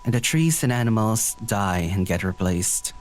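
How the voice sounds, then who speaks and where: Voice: medium pitch, expressive, normal speed, faint music behind, close to an everyday voice. Actor playing an anxious, brooding poetic young diviner. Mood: brooding, lyrical. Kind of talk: audio drama dialogue and introspective narration.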